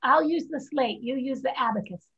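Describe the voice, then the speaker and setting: A woman's voice: high voice, moderate pace, fairly bright tone, husky, heavily acted. A woman reading the part of a proud, blunt fifteen-year-old Chinese girl in a staged play reading over a video call. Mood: eager. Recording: muffled video-call audio.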